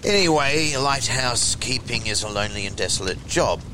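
A man hosting a horror show, reading tales and listener letters in a theatrical, deliberate way. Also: lively intonation. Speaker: host and reader of a horror anthology show. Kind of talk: expressive storytelling and letter reading. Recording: low rumbling noise behind the voice.

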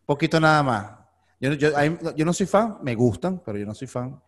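A man's voice: a little high, expressive, moderate pace. A male host, interviewing in an informal, slangy, friendly way. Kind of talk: casual interview. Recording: long reverb.

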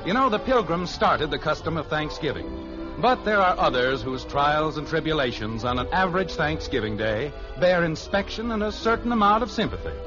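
Animated 1950s American radio announcer, music behind him. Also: male voice, a little high, moderate pace. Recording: muffled old radio broadcast recording.

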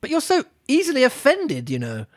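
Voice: high-pitched, wildly swooping pitch, a little fast, no room sound, studio quality, pitched above the performer's own voice, full character voice. A reader doing a polite, curious young girl. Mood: earnest. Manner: earnest, apologetic.

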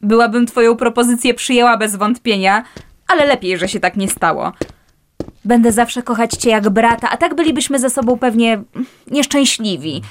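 A woman voicing a brisk, decisive young lady in a classic radio comedy. She sounds self-assured and teasing, with a somewhat high voice. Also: close to an everyday voice. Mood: firm. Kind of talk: radio play acting.

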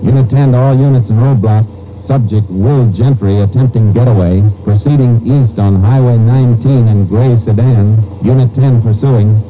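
An actor playing a determined, businesslike Texas Ranger in a 1950s radio drama, speaking in a clipped, authoritative way. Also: male voice, medium pitch, moderate pace, few pauses, close to an everyday voice. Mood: urgent, commanding. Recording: muffled 1950s radio recording, music behind.

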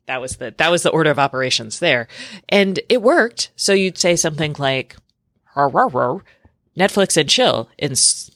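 A woman's voice: low voice, no room sound, clean studio sound. A woman hosting a tech podcast, talking in a chatty, informal way with lots of "like" thrown in. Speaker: podcast host. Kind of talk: casual tech podcast chat.

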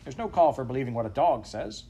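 A man's voice: medium pitch, lively intonation, warm tone, close to an everyday voice. Man putting on a bossy, scolding farmer's wife. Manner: brisk, dismissive, bossy. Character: scolding, no-nonsense farmer's wife, adult woman. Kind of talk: storybook character voice.

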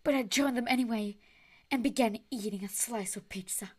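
A young woman reading a fanfiction aloud with friends, deadpan and swearing often. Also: a little high, a little fast. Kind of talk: casual comedic reading.

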